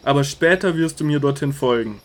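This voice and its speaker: An actor playing a calm, authoritative male teacher in a radio drama, speaking solemnly and earnestly. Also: fairly high pitch, a little fast, natural voice.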